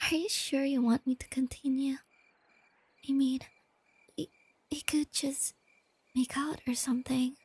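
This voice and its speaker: Female actor as timid, bookish young girlfriend for ASMR roleplay; soft and affectionate, creaky edges, close dry sound. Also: high voice, no echo. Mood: tender, affectionate. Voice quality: soft, breathy, often whispery. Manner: hesitant, tender, halting. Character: shy, nerdy, self-doubting young girlfriend, gentle and affectionate.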